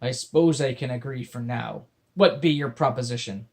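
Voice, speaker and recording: A man voicing a short, grumpy male pirate with a wooden leg, speaking in a gruff, drawling pirate dialect. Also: medium pitch, normal speed, darkish tone, natural voice.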